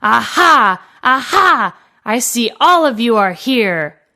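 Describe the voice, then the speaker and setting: A woman's voice: a little high, sing-song, slow, sharp tone, exaggerated voice. A woman voicing a sly big bad male wolf in a storybook, coaxing with false friendliness. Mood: gloating.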